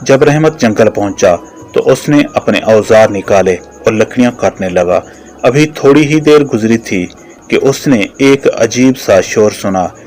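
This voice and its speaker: A man's voice: lowish voice, expressive, unhurried, a little mellow, faint music behind. A man narrating a scripted moral story for a children's cartoon.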